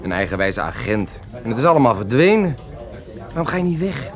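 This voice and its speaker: Cocky, dreamy young beatnik poet, lively delivery. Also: male voice, mid-range voice, fairly quick, over music. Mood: dreamy, scornful. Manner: theatrical, mocking, languid drawl with sudden outbursts. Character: cocky, lovesick, marijuana-smoking self-styled beatnik poet, young man. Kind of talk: radio play acting.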